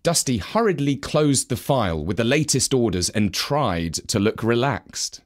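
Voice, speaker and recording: A man narrating a children's story from a script in an expressive, measured manner. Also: mid-range voice.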